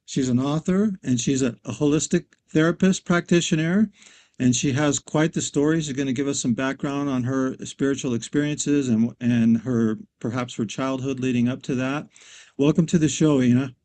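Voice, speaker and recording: A man's voice: medium pitch, normal speed, darkish tone. A man hosting an interview, introducing his guest and asking loose, friendly questions. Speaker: interview host.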